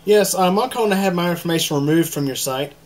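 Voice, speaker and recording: A man's voice: high-pitched, fast. A man talking casually and informally, often hesitating and repeating his words. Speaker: YouTube channel host, caller to customer service. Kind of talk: casual phone call and commentary to viewers.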